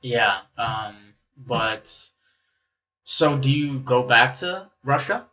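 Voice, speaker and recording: Bright-voiced joking male podcast host. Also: mid-range voice, lively intonation, very slow, muffled recording. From Romania. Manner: loose and joking, swears casually. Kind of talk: casual interview chat.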